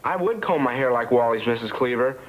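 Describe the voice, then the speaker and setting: A man's voice: medium pitch, animated, fairly quick, highs cut off, natural voice. A man playing a polite, earnest schoolboy in a staged radio sitcom. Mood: earnest, sheepish. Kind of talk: staged old-time radio sitcom re-creation. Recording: noisy live stage with audience.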